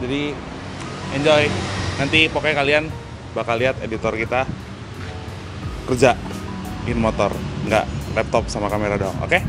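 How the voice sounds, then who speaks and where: A young man hosting a casual vlog at an outdoor garage, teasing and joking in relaxed slang. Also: medium pitch, a little slow, long pauses, over music. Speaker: vlog host. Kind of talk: casual chat.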